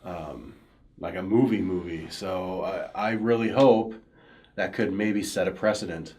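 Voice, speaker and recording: A man's voice: deep voice, fairly even intonation, unhurried, a little mellow. A man co-hosting a casual panel chat, speaking bluntly and swearing often. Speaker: podcast co-host.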